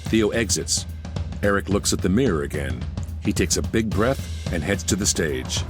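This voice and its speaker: A man's voice: low-pitched, expressive, normal speed, over music. A man reading stage directions as scripted narration for an audio musical.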